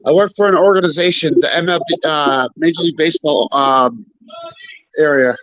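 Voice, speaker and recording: A man chatting as a guest on a video call, halting and repeating himself with lots of fillers, a little stuffy. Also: on the high side, fairly bright tone, slight background noise. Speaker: guest on stream. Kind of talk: casual chat. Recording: muffled lo-fi call audio.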